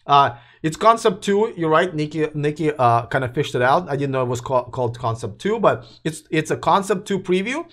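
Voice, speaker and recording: A man hosting a live electric car news stream, chatting casually with viewers while his voice is going hoarse and he keeps coughing. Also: on the high side, expressive, brisk, fairly bright tone. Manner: rambling, restarts, addresses live chat.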